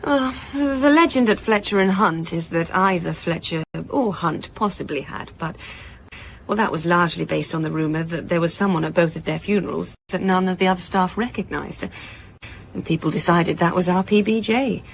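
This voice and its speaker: A woman's voice: fairly low pitch, moderate pace, creaky, slight background noise, poor audio. An actress playing a chatty, wry female publishing executive, candid and joking in a hesitant conversational way, in a naturalistic radio drama. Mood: candid, dry. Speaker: actor in audio drama.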